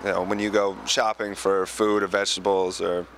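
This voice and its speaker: A man's voice: low-pitched, little pitch movement, normal speed. A man in his thirties hosting a mock news report with a handheld mic, outdoors on a city street. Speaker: host reporter. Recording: loud street noise behind.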